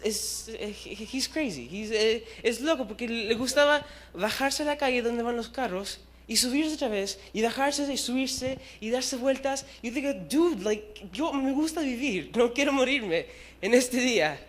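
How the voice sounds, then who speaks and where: A young man in his twenties, from Chile, gives a youth sermon in a church hall. His voice is high and he tells his stories in a lively, self-deprecating way, mixing in English words. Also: unhurried, few pauses. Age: young adult. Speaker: youth preacher. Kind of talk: casual storytelling sermon.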